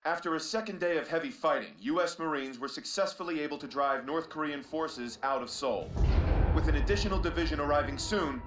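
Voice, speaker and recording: An actor playing a television news reporter with an even newsreader delivery. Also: male voice, fairly high pitch, brisk, reverberant, music in the background, lightly acted.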